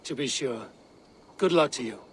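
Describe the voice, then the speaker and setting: A man's voice: medium pitch, normal speed, a little hiss or hum, natural voice. Man acting a grim, terse Viking hero. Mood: matter-of-fact. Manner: terse, businesslike. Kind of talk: video game dialogue acting.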